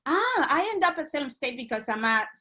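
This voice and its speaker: Bright-voiced woman, hesitant, from Dominican Republic. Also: high-pitched, big pitch swings, fairly quick. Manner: hesitant, many ums and uhs. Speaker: guest. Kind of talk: remote podcast interview.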